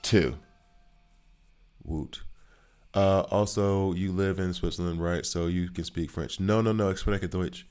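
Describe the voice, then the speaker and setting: A man's voice: low voice, steady tone, unhurried, pauses often, a little mellow, clear, resonant tone. An American man in his thirties, chatting casually with viewers while he plays, rambling and joking as he answers their questions. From United States.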